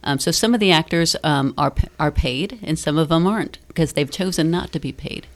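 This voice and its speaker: Low-voiced middle-aged woman in interview. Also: brisk. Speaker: stage play director and university teacher, interview guest. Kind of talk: conversational radio interview talk. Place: radio studio.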